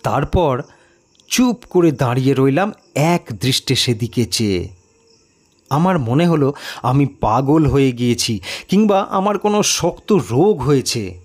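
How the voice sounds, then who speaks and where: A man narrating an eerie mystery story in a dramatic, suspenseful and expressive way. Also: medium pitch, unhurried, long pauses. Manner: expressive, suspenseful, with rhetorical questions.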